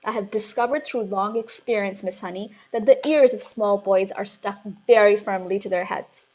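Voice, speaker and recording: A woman voicing a huge, cruel headmistress who barks and shouts with contempt, on a dull lo-fi recording. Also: medium pitch, moderate pace, lightly acted. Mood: bellowing scorn. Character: huge bullying female headmistress, furious and cruel.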